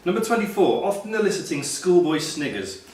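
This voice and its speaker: A man's voice: high-pitched, sing-song, brisk. A man reading out quiz questions as quiz master at a live quiz. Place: echoey hotel function room, live quiz. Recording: distant, very echoey room with background noise.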